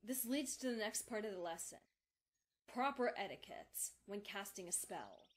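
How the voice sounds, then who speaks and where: Woman as a measured, patient unicorn magic teacher. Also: mid-range voice, animated, close to an everyday voice. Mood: calm, instructive. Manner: measured, instructive, formal. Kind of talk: fan fiction audio drama acting.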